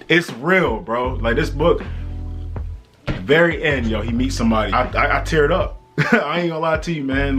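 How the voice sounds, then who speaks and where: A man's voice: mid-range voice, a bit bright. An American man talking casually and earnestly to viewers as a vlogger, in a slangy, conversational, motivational way. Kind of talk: casual motivational book talk to camera.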